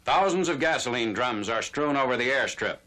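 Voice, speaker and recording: A man's voice: medium pitch, expressive, fairly quick, bright, forceful tone. A man narrating a 1940s military newsreel in a formal, factual announcer style. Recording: noisy vintage 1940s newsreel soundtrack.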